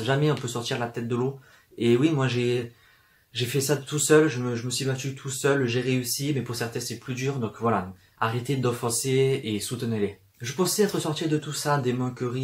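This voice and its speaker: A young man from the south of France with a southern French accent, talking to camera in a personal vlog, hesitant, with many fillers and restarts and an occasional stutter. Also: medium pitch. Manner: stutters at times. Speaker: book vlogger. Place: room full of books, indoors.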